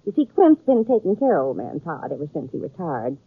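An actress playing a fussy, sheepish older frontier aunt with a rural western American accent in a 1950s radio western. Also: female voice, on the low side, sing-song, a little fast, slightly rough, no room sound, exaggerated voice. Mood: sheepish, pleading. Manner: flustered, faltering. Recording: tinny, narrow-band 1950s radio recording.

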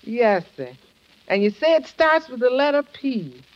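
An actress playing a good-humoured, literal-minded cook and maid in a 1950s radio sitcom, with exuberant delivery. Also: female voice, a little high, big pitch swings, unhurried. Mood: eager. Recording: muffled vintage radio recording.

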